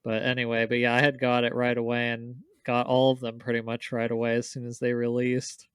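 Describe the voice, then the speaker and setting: A man co-hosts a casual gaming chat with a smooth, clean voice of medium pitch. He speaks conversationally and gives his opinions freely. The recording is dry and close. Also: monotone, brisk, no echo. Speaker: podcast co-host. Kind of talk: casual chat about video games.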